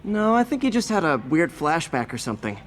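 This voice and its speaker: An actor voicing an earnest young adult male hero who talks quickly and gets flustered. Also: fairly high pitch, big pitch swings, a little hiss or hum. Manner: quick, flustered, self-talking.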